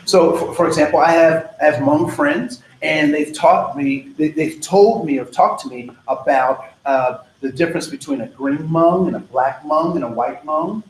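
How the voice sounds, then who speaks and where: A man with a fairly high voice leads a diversity workshop in a loose, conversational way. He wanders off on tangents, fills gaps with "um", and keeps checking in with "right?". The sound is echoey, with faint room noise behind him. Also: normal speed, hardly any pauses. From United States. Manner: casual, digressive, frequent "right?" and "um". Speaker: diversity trainer. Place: training room.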